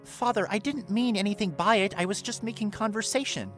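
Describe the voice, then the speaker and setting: A man's voice: high-pitched, a little fast, fairly bright tone, soft background music. A young man playing a dutiful, lonely teenage son in a fan audio drama, polite and earnest. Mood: hurt.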